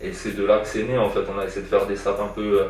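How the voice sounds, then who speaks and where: A young man answers interview questions casually, stalling on fillers and repeating words as he thinks. His voice sits a bit low. The sound is very echoey and distant, with faint music behind. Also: flat delivery, rapid-fire, a little mellow. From born in Iran, raised in France. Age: young adult. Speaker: guest artist. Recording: echoey room, distant from mic.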